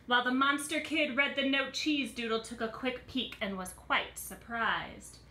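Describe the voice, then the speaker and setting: Female storyteller, thirties, for preschool read-aloud: bright, unhurried, expressive delivery in a roomy home recording. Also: sits high, a little hiss or hum. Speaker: children's center teacher reading a picture book. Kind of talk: animated read-aloud for young children. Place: small room at home.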